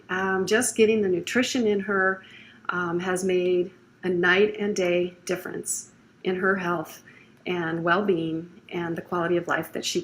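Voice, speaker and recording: A woman with a gravelly, fry-tinged voice tells a short personal story. She speaks warmly and encouragingly, with frequent ums between her thoughts. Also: on the low side, a little hiss or hum, low-quality recording. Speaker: testimonial speaker. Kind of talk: personal testimonial.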